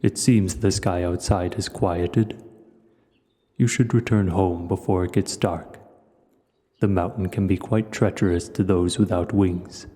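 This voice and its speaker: A cavernous reverb surrounds an old, wise dragon, played by a man, with a very deep voice. He speaks in measured, gentle phrases with a mellow, dark tone, like a thoughtful storyteller in an audio roleplay. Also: fairly even intonation. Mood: calm, cautioning. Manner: slow, measured, reflective storytelling. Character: ancient, wise, gentle male dragon hoarder. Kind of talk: audio roleplay acting, addressing the listener.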